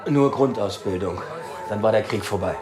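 An actor as a terse young police inspector. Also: male voice, on the low side, normal speed, music in the background, close to an everyday voice. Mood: reserved, curious. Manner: terse, understated. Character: reserved young police inspector in 1920s Berlin, guarded. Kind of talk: naturalistic radio drama acting.

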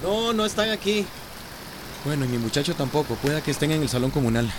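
Affable small-town bar owner played by an actor. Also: male voice, a little high, a little fast, close to an everyday voice. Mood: flustered. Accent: Central American Spanish. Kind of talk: radio soap opera acting. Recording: radio drama with rainstorm noise.

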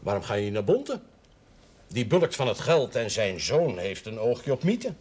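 Lively, wry Dutch priest. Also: male voice, mid-range voice, moderate pace, slightly rough. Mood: dry, wry. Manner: wry, teasing, ironic. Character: wry, irreverent village priest with a teasing wit. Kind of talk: naturalistic television drama acting. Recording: noisy old television soundtrack.

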